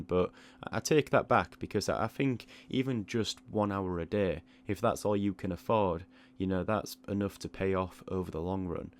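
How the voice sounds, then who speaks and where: Man, deep-pitched voice, reflective advice monologue, measured explanatory delivery, occasional repeated small words. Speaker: online art channel creator.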